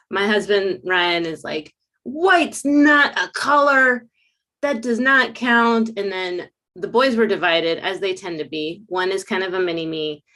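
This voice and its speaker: A woman's voice: on the low side, a bit bright. A woman in her thirties from Puerto Rico, a native Spanish speaker, chatting casually and ramblingly as she hosts a livestream into a desk microphone. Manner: chatty, rambling, self-correcting. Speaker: watercolor teacher hosting a livestream. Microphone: desk USB microphone.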